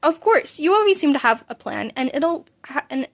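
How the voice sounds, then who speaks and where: A trusting teenage girl hero, voiced by a woman in an amateur storybook style. She sounds high-pitched, casual and supportive. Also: sing-song, normal speed, gravelly, full character voice. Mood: friendly.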